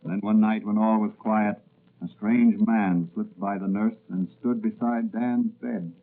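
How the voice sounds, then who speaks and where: A man's voice: fairly low pitch, steady tone, a little slow. A man giving dramatic scripted narration in the style of 1940s radio. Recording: narrow-band vintage radio recording. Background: music.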